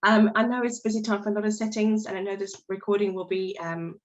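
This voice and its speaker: Croaky woman hosting, dull audio. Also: medium pitch. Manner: friendly, halting, self-correcting. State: croaky voice from a cold. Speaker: webinar host. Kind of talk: webinar welcome.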